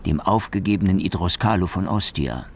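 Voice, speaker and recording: A man's voice: sits low, expressive, brisk, a little mellow. A man narrating a radio documentary drama in a sober, scripted manner. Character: sober male narrator recounting a poet's murder.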